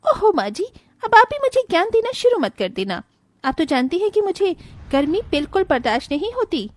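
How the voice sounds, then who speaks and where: A woman voicing a haughty, selfish young daughter-in-law in an animated moral tale, dismissive in manner. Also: extremely high pitch, brisk, dry recording. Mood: haughty, annoyed.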